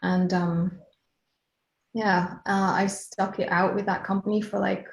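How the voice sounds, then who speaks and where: A woman's voice: mid-range voice, level tone, a little slow, creaky, echoey room. A woman from Kenya in her late thirties, a hypnotherapist chatting casually as an interview guest, laughing easily.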